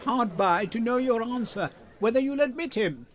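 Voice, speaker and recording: A man's voice: extremely high pitch, lively intonation, moderate pace. An older man voicing a respectful youthful messenger addressing a court, formal, in a dramatic Shakespeare reading. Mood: polite deference.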